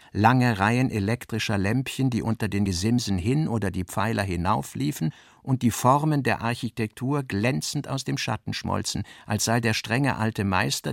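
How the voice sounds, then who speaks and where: A man's voice: mid-range voice, gravelly, no echo, clean studio sound. A man reading literary narration for a radio drama in a scripted style.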